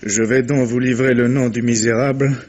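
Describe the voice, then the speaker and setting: A man acting an anguished, stubborn gentleman with solemn, declamatory delivery in a 1950s French radio mystery. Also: medium pitch, fairly even intonation, normal speed, dull audio, natural voice. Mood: solemn, fearful. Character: anguished, stubborn wealthy gentleman confiding a dark secret. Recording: noisy vintage radio recording.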